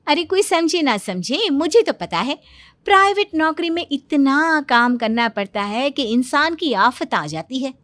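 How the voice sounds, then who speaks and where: A woman's voice: high voice, animated, a little slow, a bit bright, no echo. A woman playing a boastful, chatty young know-it-all daughter-in-law in a comedic story. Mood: boastful, emphatic. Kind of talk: comedic Hindi story dubbing.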